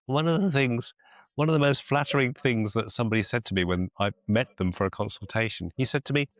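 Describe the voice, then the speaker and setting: A man coaching one-to-one on a video call as a mentor, deliberate and encouraging, often repeating phrases for emphasis. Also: mid-range voice, dry recording. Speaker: business mentor and clinician.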